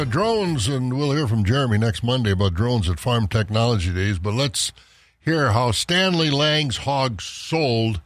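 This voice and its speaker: A man hosting a farm radio show, reading market prices and chatting plainly with a few fillers. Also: on the high side.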